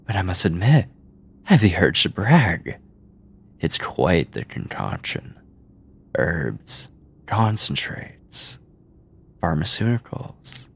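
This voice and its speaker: A man playing an obsessive mad scientist captor in a horror ASMR roleplay, coldly doting and clinical, with condescending endearments, theatrical pauses and a breathy, husky voice. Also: low-pitched, very animated, a bit bright, heavily acted. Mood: gloating, fascinated. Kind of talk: horror ASMR roleplay, intimate monologue to listener.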